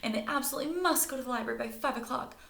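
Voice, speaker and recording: A woman's voice: high-pitched, racing, vocal fry, bright, forceful tone, reverberant, voice pushed up, full character voice. A woman reading a storybook as a cheerful, chatty young girl. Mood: excitable.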